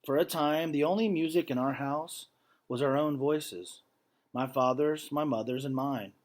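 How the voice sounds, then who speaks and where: A man reading a picture book aloud like a teacher to students, with measured phrasing and casual explanatory asides. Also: fairly high pitch.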